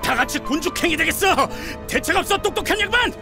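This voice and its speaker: A man's voice: very high-pitched, expressive, fast talker. A man playing a hot-tempered, sneering fighter with a brash, sarcastic way of talking. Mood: alarmed, mocking. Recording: music under voice.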